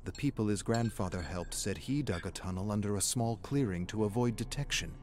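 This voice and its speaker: A man's voice: lowish voice, brisk, darkish tone. A man reading scripted story narration in a dramatic storytelling style. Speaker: story reader. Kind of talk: dramatic scripted narration with acted inner dialogue. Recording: music behind the voice. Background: music.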